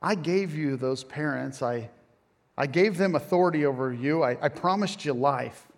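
A man's voice: on the high side, normal speed. A middle-aged man preaching a conversational sermon, casual and self-deprecating with joking asides.

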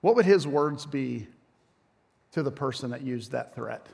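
A man's voice: mid-range voice, animated, measured pace, creaky, darkish tone, breathy edge. A middle-aged man preaching a conversational sermon, casual and self-deprecating with joking asides.

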